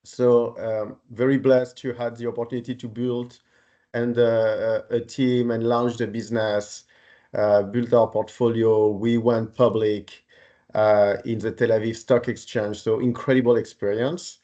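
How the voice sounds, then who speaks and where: A man in his forties from Paris with a French accent, speaking politely and haltingly as an interview guest. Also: medium pitch, a little mellow, muffled recording. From Paris, France. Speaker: podcast guest, renewable energy executive. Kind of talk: conversational career account in interview.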